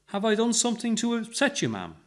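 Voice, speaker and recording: Man reading as a calm, genial sandwich board man. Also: very high-pitched, fairly quick, voice pushed up, full character voice.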